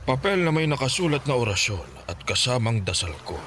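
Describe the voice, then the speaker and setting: A man playing a grave, calm older Filipino folk healer in a radio drama, speaking deliberately with thoughtful hums. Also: mid-range voice. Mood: grave, warning. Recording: clearly noisy background ambience.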